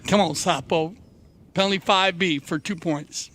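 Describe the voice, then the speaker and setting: A man's voice: sits high, steady tone, measured pace, fairly bright tone. A man giving live polo match commentary in a loose, chatty style. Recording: clear background ambience noise.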